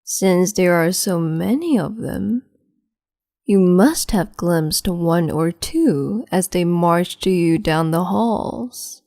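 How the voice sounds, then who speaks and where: A woman voicing a cruel, capricious empress in an ASMR roleplay, speaking slowly and haughtily in a half-whisper close to the microphone. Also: fairly low pitch, mellow, no room sound. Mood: haughty, taunting.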